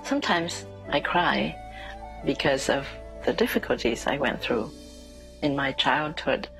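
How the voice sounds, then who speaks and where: Woman from Vietnam, deep voice, slow halting speech, music under. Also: lively intonation, gravelly, fairly bright tone, rough recording. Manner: slow, halting, with repeated words. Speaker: painter. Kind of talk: interview.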